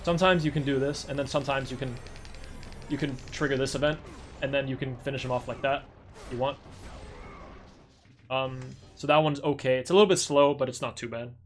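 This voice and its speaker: A man's voice: mid-range voice, steady tone, brisk, long pauses, soft background music. A man explaining a gameplay speedrun tutorial in a casual, rambling way, his voice tired. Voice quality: chatty, rambling, self-correcting. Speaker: speedrunner streamer.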